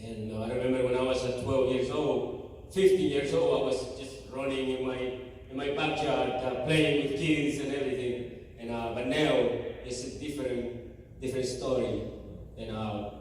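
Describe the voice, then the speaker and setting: A man from Guatemala with a Guatemalan Spanish accent, a native Spanish speaker, giving a halting church testimony full of fillers and restarts. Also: medium pitch, darkish tone, a little hiss or hum. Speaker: mission team member giving a church report. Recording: echoey church hall from far off.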